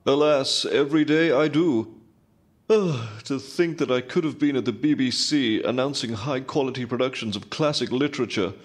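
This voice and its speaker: An actor playing a haughty, world-weary British radio announcer in a comedic audio drama. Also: male voice, medium pitch, normal speed, close to an everyday voice. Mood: disdainful. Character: snooty, disdainful British male radio announcer. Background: music.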